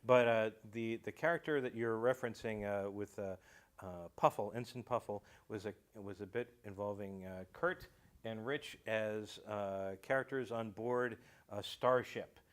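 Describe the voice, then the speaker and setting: A man in casual talk-show conversation as a radio show creator, often pausing and restarting his phrases. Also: fairly low pitch.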